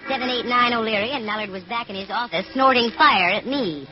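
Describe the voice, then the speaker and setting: An American actress playing a sharp-witted female private detective in 1940s radio style, breezy, slangy and wry. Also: on the high side, brisk, natural voice. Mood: wry narrating. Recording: dull vintage radio recording with music.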